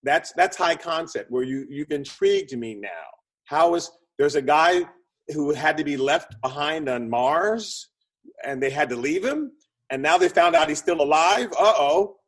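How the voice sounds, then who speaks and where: A man speaking as an expert interview guest, explaining conversationally in an animated way with short punchy phrases and a slightly husky voice. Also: fairly high pitch, measured pace. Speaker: interview guest, screenwriting consultant and producer.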